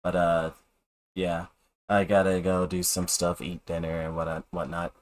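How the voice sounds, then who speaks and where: A man chatting casually as a live game streamer, in a hesitant, halting way. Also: low voice, level tone. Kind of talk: casual game livestream chat.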